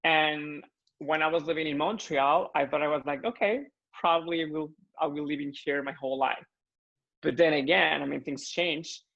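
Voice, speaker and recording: A young man from Mexico with a Mexican accent, speaking English as a second language in an earnest interview chat, often pausing with fillers to find his words. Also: fairly high pitch, fairly even intonation, creaky, a bit bright, dull audio. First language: Spanish. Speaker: floral designer guest.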